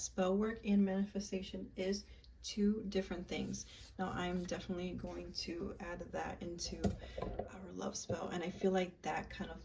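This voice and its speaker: A young woman casually walking viewers through a love spell, chatty and halting, with frequent ums and likes. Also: fairly low pitch, background noise. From United States, Puerto Rican and Peruvian family. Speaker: witchcraft vlogger.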